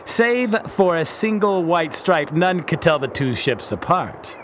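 Reformed pirate captain, radio play, male actor: earnest, pious, blunt, slightly raised pitch. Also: lively intonation, a little slow, slight background noise. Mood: determined. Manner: blunt, solemn, formal old-fashioned speech. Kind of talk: radio drama acting.

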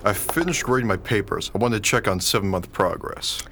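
Grumbling, skeptical schoolteacher husband. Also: male voice, on the low side, expressive, a little fast. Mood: concerned. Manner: grumbling, exasperated. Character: weary, irritable, skeptical young schoolteacher husband. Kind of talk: radio drama acting. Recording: faint background noise.

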